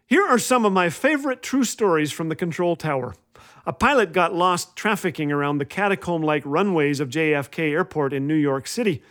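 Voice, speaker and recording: A man's voice: a little high, expressive, fairly quick, fairly bright tone. A man hosting a humorous inspirational radio show, telling scripted comic stories in a wry, playful way. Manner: wry, playful, acts out quoted lines. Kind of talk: scripted comedic storytelling monologue.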